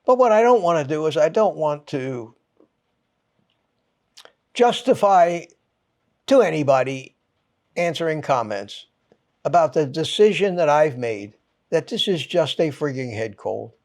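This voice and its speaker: Man, channel host, ranting monologue, exasperated, emphatic, swears, mild head cold, slow delivery. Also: fairly high pitch, animated, darkish tone. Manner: emphatic, exasperated, punchy short phrases with pauses.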